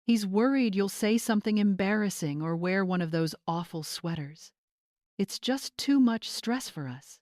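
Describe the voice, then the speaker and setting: A woman's voice: medium pitch, animated, normal speed, a little mellow, dry recording, clean studio sound, lightly acted. Woman voicing a haughty young influencer bride. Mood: condescending. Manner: condescending, affected. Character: smug young social-climbing influencer bride, haughty and dismissive. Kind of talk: dramatized audiobook character voice.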